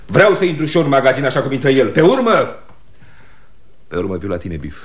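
An actor playing a restless, boastful but caring young son, earnest and emphatic, in a 1960 radio drama. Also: male voice, mid-range voice, big pitch swings, slightly rough. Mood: frustrated, proud. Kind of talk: 1960 Romanian radio theatre acting.

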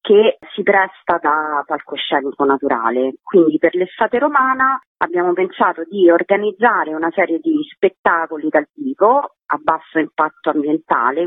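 A woman's voice: low voice, husky, no room sound. A woman explaining things as an organiser and guest in a radio interview over the phone. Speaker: theatre festival organiser, guest. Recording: narrow, tinny phone line.

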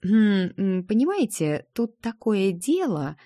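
Woman acting a hesitant, low-voiced young heroine. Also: expressive, a little slow, warm tone, no echo, clean studio sound. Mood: awkward, sheepish. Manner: hesitant, awkward. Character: uncertain young heroine, new student medium from Earth.

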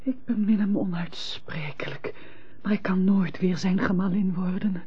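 An actress in a radio drama playing a remorseful, proud and tormented estranged wife who speaks formally and theatrically. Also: female voice, medium pitch, moderate pace, husky. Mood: hushed anguish. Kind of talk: classic European radio drama acting. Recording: dull lo-fi old radio recording.

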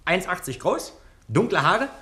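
Brash, high-pitched police lieutenant. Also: male voice, very animated, a little slow, sharp tone, exaggerated voice. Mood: smug, brash. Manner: brash, self-satisfied, barking orders. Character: smug, bull-headed American police lieutenant, male. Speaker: actor in a radio crime satire. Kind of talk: German comedic radio play acting.

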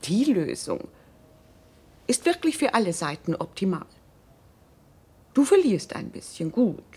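Husky, steely middle-aged woman. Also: mid-range voice, big pitch swings, dark tone, full character voice. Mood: stern, reasoning. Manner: controlled, deliberate, pointed. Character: cool, steely wife and mother, calculating. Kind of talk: German radio drama acting.

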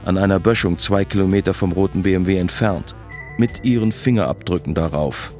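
A man playing a sober first-person narrator in a German radio drama, measured and matter-of-fact. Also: sits low, darkish tone, close to an everyday voice. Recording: music behind the voice.

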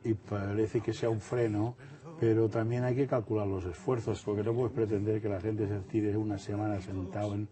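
A man's voice: on the low side, level tone, normal speed, warm tone. A male interviewer who asks casual questions and makes jokes with his guest. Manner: joking, chatty. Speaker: radio interviewer.

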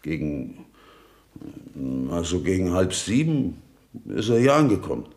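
An actor in a radio play playing a gruff, hot-tempered farmer who is curt and defensive. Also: male voice, deep voice, sing-song, measured pace, a little mellow, heavily acted. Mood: sullen, defensive. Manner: curt, defensive, easily provoked. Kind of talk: German radio play acting.

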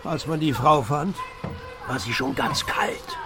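An actor playing a chatty, opportunistic cab driver in a radio drama, talkative and brash. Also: male voice, on the high side, expressive, unhurried, music in the background. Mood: gossipy, scornful. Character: chatty, opportunistic London cab driver bearing grim gossip. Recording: noisy outdoor street scene.

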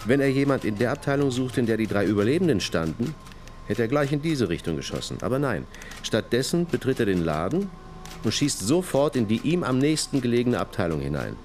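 An actor playing a level-headed police detective in a radio crime drama, speaking in a measured, terse, firm way. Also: male voice, mid-range voice, big pitch swings, darkish tone, a little hiss or hum, lightly acted. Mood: measured, thoughtful. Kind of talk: naturalistic German radio crime drama acting.

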